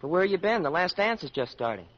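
High-voiced gee-whiz college boy, old radio. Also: sing-song, fast, a bit bright, heavily acted. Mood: eager, smitten. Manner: gee-whiz slangy, boyish. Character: excitable, slangy, naive college boy. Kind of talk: 1940s radio comedy acting. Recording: muffled 1940s radio recording.

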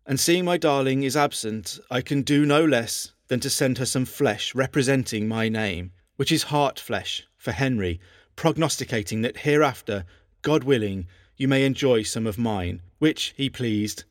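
A male actor gives us a devoted, lovesick king of old England. He reads his letters in formal, archaic phrases with earnest, measured delivery. His middle-pitched voice sits close and dry, as in an audio documentary. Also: no room sound, lightly acted. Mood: tender, longing. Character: lovesick English king Henry VIII reading his own love letters, earnest. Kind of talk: dramatised reading of historical letters.

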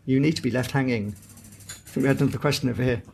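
Husky-voiced man moderating, halting delivery. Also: mid-range voice, darkish tone. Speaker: event moderator. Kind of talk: conversational book event moderation.

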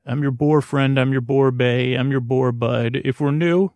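A man with a creaky, gravelly voice in a close, dry recording. He hosts a bedtime podcast, wandering through improvised thoughts in halting, meandering sentences that trail off and restart. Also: medium pitch, fairly even intonation, a little mellow, no echo. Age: 40s. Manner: halting, meandering, stumbling over his own sentences. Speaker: podcast host. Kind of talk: rambling improvised bedtime monologue.

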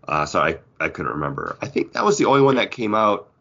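Bright, animated man in casual chat. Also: low-pitched, fast, vocal fry, dull audio. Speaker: podcast co-host. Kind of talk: casual panel chat about films.

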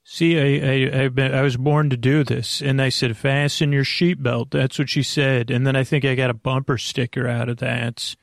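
Guy doing a sleepy bedtime podcast, creaky croaky voice, lulling, rambles and loses his place, really dry recording. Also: mid-range voice, little pitch movement, dry recording. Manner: meandering, halting, keeps losing his thread; lulling, soothing delivery. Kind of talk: rambling, off-topic bedtime monologue.

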